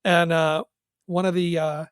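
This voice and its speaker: A man's voice: a little high, flat delivery, unhurried, smooth, dry recording, studio quality. A man co-hosting a music podcast, talking in a casual, wry and enthusiastic conversational way. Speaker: podcast co-host and music reviewer.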